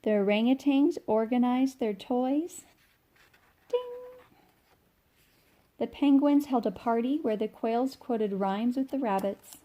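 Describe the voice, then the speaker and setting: Unhurried woman reading a picture book. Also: on the high side, mellow. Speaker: read-along storyteller. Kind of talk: children's picture book read-aloud.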